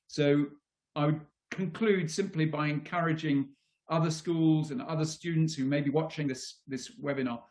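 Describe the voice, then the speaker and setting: A man speaking as a webinar guest, giving inspirational closing remarks in a measured, rhetorical way. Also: a little high, a little mellow.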